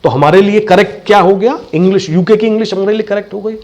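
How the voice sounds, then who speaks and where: Male accent coach, Indian accent, voice a little higher than average, instructive classroom style with deliberate repetition. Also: darkish tone. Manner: didactic, repeats key phrases. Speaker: voice and accent teacher. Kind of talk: bilingual classroom lecture.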